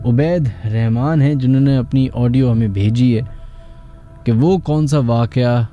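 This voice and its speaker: A man's voice: mid-range voice, measured pace, dark tone, dull audio, soft background music. A man with a Karachi Pakistani accent hosting a call-in horror radio show.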